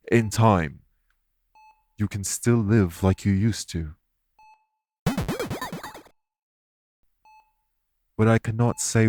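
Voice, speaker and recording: A man playing a solemn, guilt-ridden fae in an audio roleplay, speaking formally and earnestly in measured, deliberate phrases. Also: low-pitched, a little mellow, no room sound. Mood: firm, sympathetic. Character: solemn, guilt-ridden male fae explaining magic to a patient. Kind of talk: audio roleplay acting, addressed to listener.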